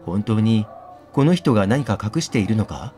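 A man reads as an earnest young student sleuth in a mystery game. He sounds deliberate and a little hesitant, with expressive pitch over faint music. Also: lightly acted. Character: earnest, hesitant young male student turned amateur detective.

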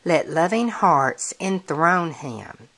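A woman reading a children's picture book aloud in a deliberate manner, as if counting along with young listeners. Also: low voice, animated, a bit bright.

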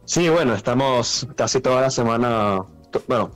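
A man's voice: medium pitch, moderate pace. A man speaking as a guest on a radio phone interview, explaining things as an event organiser. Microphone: telephone call. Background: music.

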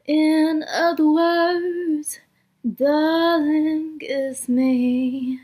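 A woman's voice: high-pitched, fairly even intonation, very slow, darkish tone, soft background music. A woman, an amateur singer, singing pop and jazz covers as a voice sample.

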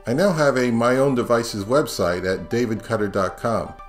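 A middle-aged man hosting an audio review channel, reading scripted narration in a measured, explanatory way. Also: mid-range voice, faint music behind.

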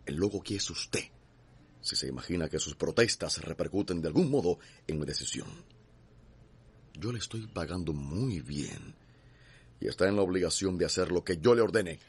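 A bossy threatening cuban landowner, radio soap, really husky, lively intonation. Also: male voice, low voice, full character voice. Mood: cold, commanding. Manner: imperious, threatening, deliberate. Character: cold, menacing, domineering male slaveholding landowner. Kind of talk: radio drama acting.

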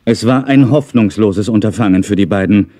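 A man's voice: fairly low pitch, moderate pace, noisy background, natural voice. A middle-aged man narrating a children's story in a playful way, with wry, chatty asides.

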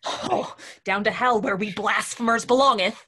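A woman voicing a sharp-tongued young male Elizabethan player, with archaic stage speech and quick retorts. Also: a little high, lively intonation. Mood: panicked. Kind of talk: audio drama acting.

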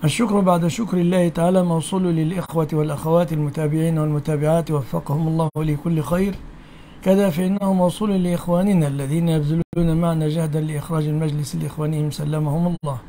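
A man's voice: on the high side, steady tone, fast, warm tone, slight background noise. A man with an Egyptian accent hosting a live call-in religious question session, answering callers bluntly and questioning them back.

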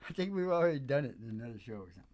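A man's voice: mid-range voice, sing-song, very fast, a little mellow, no echo. A man in his sixties bantering casually on stage in a joking, rambling way. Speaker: musician performing with a duet partner. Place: small indoor stage with red curtains. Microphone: stand microphone. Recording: guitar music around the voice. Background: acoustic guitar.

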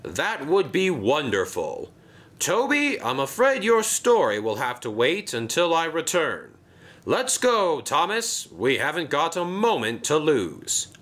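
A fussy, commanding railway director, put on by a man in a storybook reading. He speaks formally and crisply in a bright voice, with a pitch that climbs and dips dramatically. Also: huge pitch swings, heavily acted. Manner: formal, brisk.

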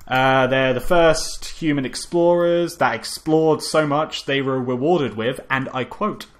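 A man's voice: medium pitch, a little slow. A man co-hosting a casual film review podcast, rambling and profane, often stumbling and restarting his sentences. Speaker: podcast co-host.

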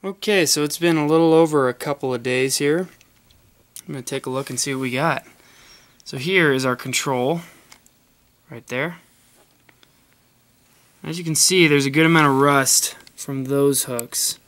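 A man's voice: a little high, normal speed, long pauses, a bit bright. A young man, a college student, casually hosting a fishing channel, testing a tackle box with plenty of ums and uhs. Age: young adult. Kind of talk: casual product test vlog. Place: carpeted room indoors.

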